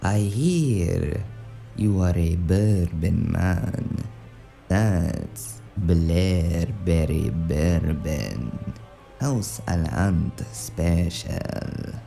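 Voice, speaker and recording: A man playing a suave, menacing crime boss in a noir audio drama, speaking formally and deliberately with silky threat. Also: sits low, creaky, mellow, soft background music, full character voice. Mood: smooth, probing.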